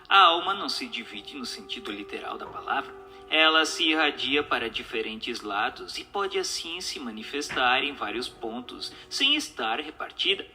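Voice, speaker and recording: A man with a Brazilian accent giving a formal, measured scripted narration of a spiritual text. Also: fairly high pitch, bright, forceful tone. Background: music.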